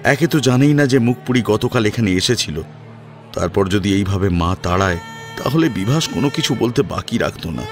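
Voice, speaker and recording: A man's voice: on the low side, animated, brisk, faint music behind. A man narrating a dramatic audio story in an emotive, theatrical way.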